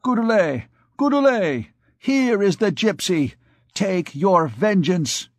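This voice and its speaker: Guy doing a crazed shouting priest, way higher and louder than his normal voice, possessive, dry sound. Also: slow pace, no echo. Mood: furious. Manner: shouting threats, frenzied. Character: raving, possessive middle-aged male priest.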